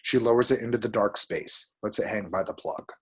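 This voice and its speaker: A man's voice: lowish voice, fairly quick, slightly rough. A man reading screenplay action descriptions aloud as dramatic, vivid narration at a table read.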